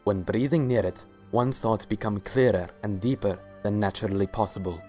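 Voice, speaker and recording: A man voicing a suave, courtly pony sultan with measured, formal, polite speech in a dramatic reading. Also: on the low side, steady tone, dark tone, faint music behind, natural voice. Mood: amused, charming. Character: suave, regal, courtly male alicorn sultan. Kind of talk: fan-fiction dramatic reading.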